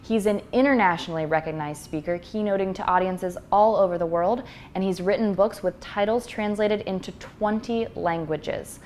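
A young adult woman hosting an interview in an office, reading a scripted introduction and asking questions in a polished, measured delivery. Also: medium pitch, a little mellow, a little hiss or hum. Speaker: interview host. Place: office with bookshelves. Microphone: clip-on lapel mic.

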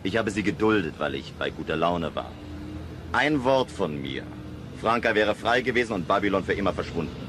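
A man's voice: deep voice, animated, a little fast. An actor playing a proud, indignant man in a radio drama, speaking bluntly and challengingly. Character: proud, indignant builder accusing his hosts. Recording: noisy outdoor vehicle ambience behind.

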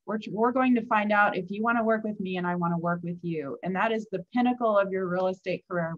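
A woman hosting a webinar panel over a video call, encouraging her guests and passing along audience questions. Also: lowish voice. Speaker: webinar host. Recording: slightly dull video call audio.

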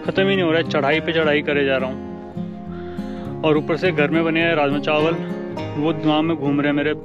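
A man's voice: fairly high pitch, fairly even intonation, moderate pace, muffled recording. A man in his thirties from India, casually narrating a hike vlog outdoors on a forest trail, chatting with viewers like friends through an action camera. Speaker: hiking vlogger. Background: guitar music.

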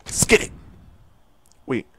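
A man casually commenting on a video game he plays, joking and laughing, jumping from loud squeals to low mumbles. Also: slow pace, a little hiss or hum. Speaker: gameplay streamer. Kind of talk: casual let's-play commentary.

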